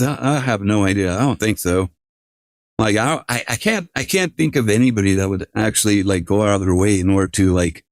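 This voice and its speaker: A man livestreaming casual reactions, talking in a halting, repetitive way with some swearing. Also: on the low side, no echo, clean studio sound.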